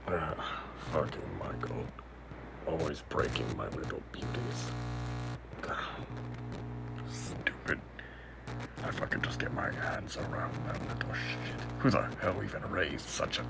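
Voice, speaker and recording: A man voicing a sadistic, elderly undead killer animatronic in a horror roleplay, raspy and theatrical, with mocking menace and muttered asides. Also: rumbling low, sing-song, slow pace, long pauses, over loud music, heavily acted, rough recording. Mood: irritated, muttering. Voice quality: raspy, gravelly.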